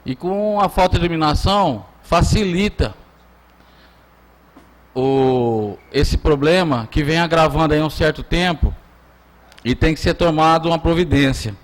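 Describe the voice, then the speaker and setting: A man's voice: fairly high pitch, unhurried. A Brazilian man speaking as a city councillor in a council session, giving an informal political speech in plain colloquial words.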